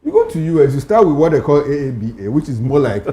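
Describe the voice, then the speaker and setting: A man's voice: fairly high pitch, very animated, fast, dark tone, reverberant. A man with a Nigerian accent giving conversational, emphatic commentary as a talk show panellist, repeating phrases to drive points home. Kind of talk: conversational commentary on current affairs.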